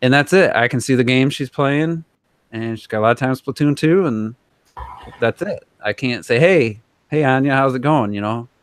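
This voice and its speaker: Clear-voiced man in casual gaming chat. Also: medium pitch, normal speed, no echo. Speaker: podcast co-host. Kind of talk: casual gaming podcast discussion.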